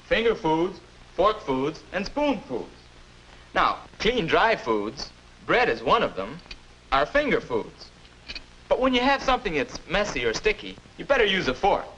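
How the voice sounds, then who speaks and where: A man's voice: high-pitched, very animated, measured pace, pushed and bright, heavily acted. An American actor in his twenties playing a patient, encouraging older self instructing a boy in 1950s educational film style. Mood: helpful. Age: 20s. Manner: instructive, encouraging, neatly spoken. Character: patient, upbeat 21-year-old older self mentoring a boy. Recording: noisy old 1950s film soundtrack.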